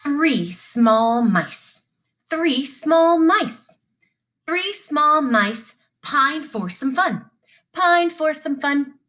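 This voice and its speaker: Bright, high-voiced woman reading to children. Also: expressive, drawn-out words. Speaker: teacher reading aloud. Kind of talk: sing-song children's read-along narration.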